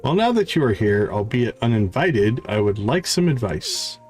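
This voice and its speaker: A man acts out a genteel lady florist in a farming game. She is prim and fussy, choosing formal, old-fashioned words. Her delivery is animated, rising and falling freely over soft music. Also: mid-range voice, normal speed, lightly acted. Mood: prim, put out.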